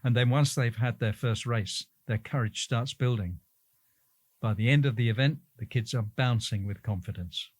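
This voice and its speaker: A man's voice: mid-range voice, normal speed, no room sound. A man reading out prepared interview answers.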